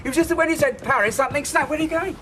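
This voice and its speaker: A man's voice: extremely high pitch, very fast. Bitter middle-aged stepfather in a soap, with a raised, mobile voice and faint hiss behind it. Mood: pleading. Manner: flares into shouting, then pleads. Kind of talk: TV soap drama acting.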